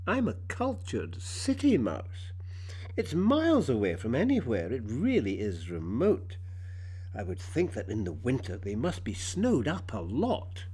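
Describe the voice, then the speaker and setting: A man's voice: mid-range voice, wildly swooping pitch, measured pace, a little mellow, slightly rough, full character voice, poor audio. A man putting on a snooty posh lady city mouse. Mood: haughty dismay. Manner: posh, affected, gushing. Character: snooty cultured female city mouse, boastful and condescending.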